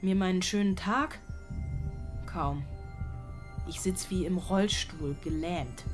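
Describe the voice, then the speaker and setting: A woman's voice: lowish voice, slow. An actress playing an anxious, overwrought single mother, brooding and confessional in a radio play monologue. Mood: weary, tense. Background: music.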